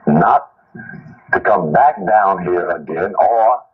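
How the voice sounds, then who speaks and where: Slow, low-voiced man lecturing on muffled audio. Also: husky. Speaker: spiritual lecturer. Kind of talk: informal spiritual talk.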